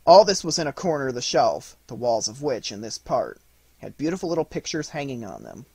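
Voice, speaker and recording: A man's voice: mid-range voice, very animated, fairly quick, creaky, a little mellow, slightly rough, no echo. A man reading an audiobook, giving scripted storybook narration. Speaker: audiobook reader.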